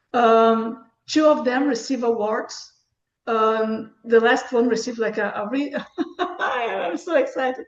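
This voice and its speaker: A middle-aged woman from Brazil, a native Portuguese speaker with a Brazilian accent, chatting enthusiastically as a guest author. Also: fairly high pitch. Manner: enthusiastic, frequent restarts and laughs. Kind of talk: casual interview chat. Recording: echoey, dull lo-fi sound.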